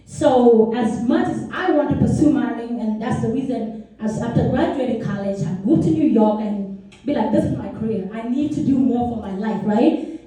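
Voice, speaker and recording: A woman's voice: on the high side, mellow, heavy reverb, far from the mic, poor audio. A young woman from South Sudan telling her personal story to a meeting, earnest and chatty with many asides. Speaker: speaker. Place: meeting room.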